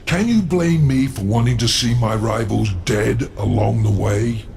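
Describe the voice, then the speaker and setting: An actor playing a sly, scheming demon lord in a video game, with a smug, deliberate, theatrical delivery. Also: male voice, medium pitch, vocal fry, music in the background. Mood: smug, defiant.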